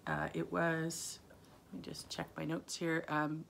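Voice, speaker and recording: A woman's voice: deep voice, completely flat delivery, a little slow, creaky, a bit bright, a little hiss or hum. A woman hosting her knitting podcast in a casual, rambling way, full of ums and self-corrections, with a lingering chest cough. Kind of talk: casual solo podcast chat.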